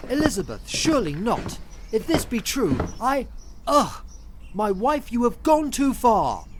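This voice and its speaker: A man's voice: very high-pitched, big pitch swings, unhurried, background noise, exaggerated voice. A man playing an earnest medieval king, a loving husband, with formal theatrical delivery in an audio drama. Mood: dismayed. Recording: music under the voice.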